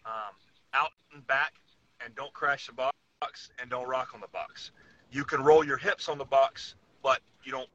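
A man with a very bright, forceful voice talks casually as a podcast co-host. He lays out his opinions in an instructive way, like a lifter explaining his method. The recording is slightly dull and lo-fi. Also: mid-range voice, steady tone, unhurried, no room sound.

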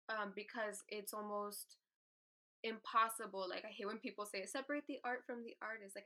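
A young woman speaking candidly and at length as a podcast guest, with many pauses and fillers. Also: mid-range voice, bright, forceful tone. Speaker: artist guest. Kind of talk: podcast interview.

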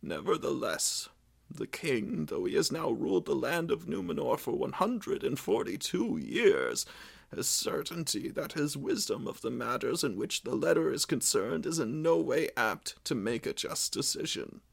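A man voicing an aging, sorrowful king who speaks softly and gravely, pitched higher than his own voice. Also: very animated, measured pace, heavily acted.

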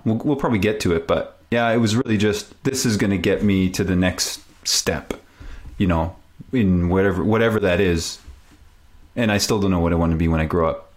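A man in his forties from Canada with a low voice, whose native language is French. He chats informally as an interview guest, thinking things through as he goes. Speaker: podcast guest. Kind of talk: casual interview chat.

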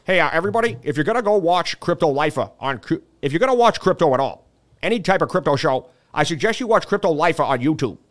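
Goofy cartoon dad, loud, slurry, dim, higher voice, man impersonating. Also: animated, fast, soft background music, lightly acted.

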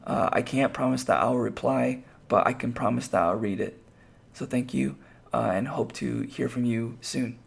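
A man with a hoarse, raspy voice, hosting a podcast and chatting casually on his own, rambling with lots of fillers and restarts. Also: medium pitch, moderate pace. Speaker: podcast host. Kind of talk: casual solo podcast talk.

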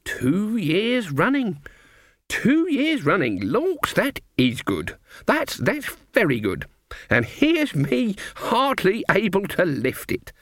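A man's voice: high-pitched, huge pitch swings, slow, a bit bright, dry recording, studio quality, voice pushed up, exaggerated voice. A man doing a sly old witch woman. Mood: mock-innocent. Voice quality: sing-song, falsely innocent, folksy dialect. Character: shrewd old woman witch, sweetly menacing.

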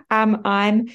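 A woman's voice: on the high side, muffled recording. A young Australian woman co-hosting a podcast, talking in a chatty way with fillers, her nose blocked up from a cold. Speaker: podcast co-host. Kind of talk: casual podcast chat.

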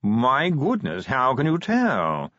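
A man's voice: mid-range voice, wildly swooping pitch, slow pace, a bit bright, no echo, lo-fi sound, pitched above the performer's own voice, full character voice. A man voicing a nervous, refined art curator in an audiobook, with a fussy, polite, hesitant manner. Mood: impressed.

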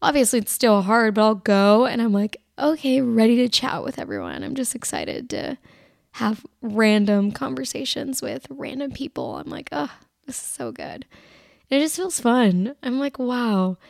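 A young woman with a somewhat high, raspy voice talks on her own podcast, wandering from thought to thought with constant "like"s. She sounds tired, recovering from being sick. The sound is dry and close. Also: sing-song, normal speed, no echo. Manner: rambling, filler-heavy, lots of "like". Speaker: podcast host. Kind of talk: casual solo podcast chat.

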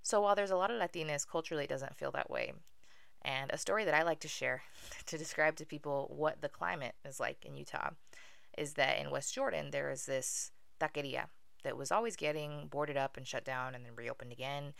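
Low gravelly woman, wry podcast host. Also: a little fast, fairly bright tone, dry recording. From Venezuela. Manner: wry, self-deprecating, with filler ums. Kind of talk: casual solo podcast monologue.

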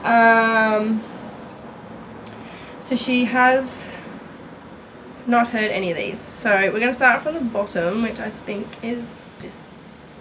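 A woman's voice: fairly high pitch, slow pace, long pauses, noisy background. A woman co-hosting a podcast in casual chat, blunt and laughing, swearing freely. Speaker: podcast co-host.

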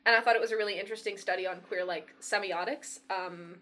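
Bright-voiced young woman rambling on a podcast. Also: medium pitch, steady tone, fast. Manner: rambling, many fillers and laughs. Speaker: podcast co-host. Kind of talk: casual chat.

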